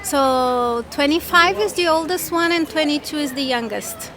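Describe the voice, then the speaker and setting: A woman's voice: sits high, normal speed, a crowd in the background, poor audio. A middle-aged woman from Brazil, chatting casually as an interviewee outdoors.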